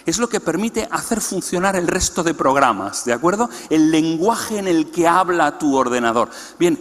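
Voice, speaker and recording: A man giving an explanatory teaching talk to a church congress, putting questions to the audience. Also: fairly high pitch. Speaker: conference speaker. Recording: long hall reverb.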